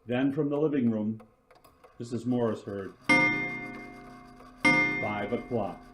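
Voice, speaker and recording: A man narrating a scripted suspense radio drama in a deliberate, dramatic way. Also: medium pitch, muffled recording. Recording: music behind the narration.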